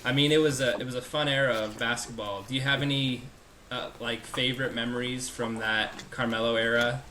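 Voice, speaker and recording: A man from Wyoming hosts a casual sports podcast, his tone bright and forward. He asks eager questions and restarts his sentences a lot, with faint background noise behind him. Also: mid-range voice, fairly even intonation, a little fast. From Wyoming, USA. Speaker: podcast host.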